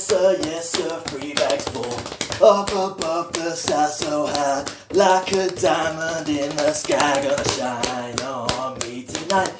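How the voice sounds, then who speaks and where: A slow nursery-rhyme recital, echoey and set back from the microphone. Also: mid-range voice. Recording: echoey, distant, under loud music.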